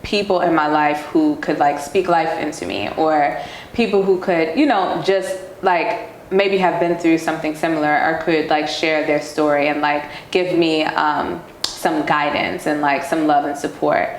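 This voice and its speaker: A woman with a low voice talks openly and casually, rambling and filling her sentences with "like." She is recorded in an echoey room with faint background noise. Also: fairly even intonation, unhurried. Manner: rambling, heavy use of "like" fillers. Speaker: podcast guest. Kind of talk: casual personal storytelling.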